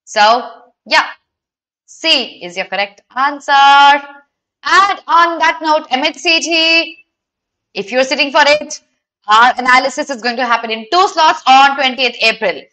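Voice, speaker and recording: A young woman with an Indian accent and a high, very bright voice teaches an online exam class. She addresses her students directly in an instructional way, moving between English and Hindi. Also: unhurried. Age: young adult. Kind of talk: online lesson, talking to live students.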